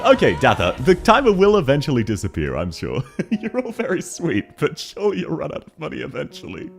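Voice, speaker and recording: Aussie guy streaming games, talks super fast, bouncy voice, chuckles constantly, bit of music quietly behind. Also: mid-range voice. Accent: Australian, unusual variety. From Australia. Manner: fast-talking, laughs often, animated. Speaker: game streamer. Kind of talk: casual live-stream chat, reading chat aloud while playing.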